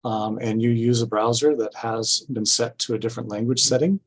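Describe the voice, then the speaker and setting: A man giving an informational presentation at a public meeting, explaining things step by step in a halting way. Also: medium pitch, steady tone. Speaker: city staff presenter at a grant orientation.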